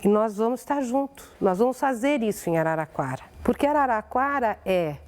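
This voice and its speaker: A woman with a Brazilian accent speaking in an interview as a radio executive, halting and emphatic with pauses. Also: a little high, animated, a little mellow. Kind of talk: interview reminiscence.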